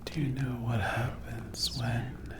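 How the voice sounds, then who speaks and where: A performer voicing a menacing, taunting sleep paralysis demon in a breathy whisper for a dark fantasy ASMR roleplay. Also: medium pitch, steady tone, echoey room, noisy background, close to an everyday voice. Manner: slow, drawn-out, taunting delivery with rhetorical questions.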